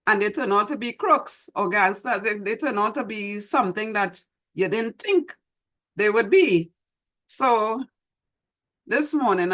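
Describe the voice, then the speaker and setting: A middle-aged woman with a Trinidadian accent, hosting an online show and gently reminiscing as she reads her poem, recorded over a video call from a small room at home. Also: medium pitch. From Trinidad and Tobago. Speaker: host. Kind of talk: reflective talk and poetry reading. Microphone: video call webcam.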